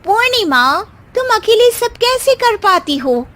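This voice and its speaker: A woman's voice: very high-pitched, big pitch swings, unhurried, a bit bright, pitched above the performer's own voice, exaggerated voice. A woman storyteller voicing a sociable, inquisitive married woman chatting at a party in a narrated story. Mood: chatty. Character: sociable female party guest, wife of colleague.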